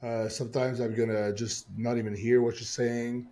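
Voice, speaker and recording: A man in his late thirties co-hosting a casual chat, relaxed, reading things off a screen as he talks. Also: medium pitch, normal speed. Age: 30s. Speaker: podcast co-host.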